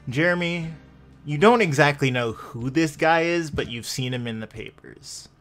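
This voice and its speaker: A man working as game master, narrating a tabletop roleplaying game in a deliberate, suspenseful storytelling manner. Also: fairly high pitch, expressive, fairly bright tone, faint music behind.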